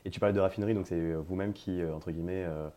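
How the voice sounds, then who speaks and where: A man's voice: deep voice, steady tone, racing, mellow. A man hosting a podcast interview, chatty and informal, with many hesitations and filler words as he asks questions. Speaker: podcast host.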